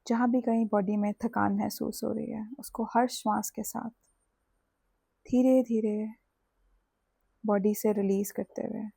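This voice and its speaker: A woman with an Indian accent leads a guided sleep meditation in a soothing, deliberate way, leaving long pauses between phrases. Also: medium pitch, little pitch movement, vocal fry, dark tone, no room sound. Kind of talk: guided sleep hypnosis with body scan.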